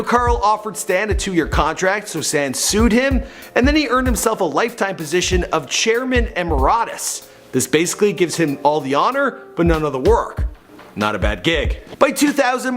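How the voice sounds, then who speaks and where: A man's voice: sits high, a bit bright. A man delivering a scripted biography narration like a showy storyteller, with conversational asides. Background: background music.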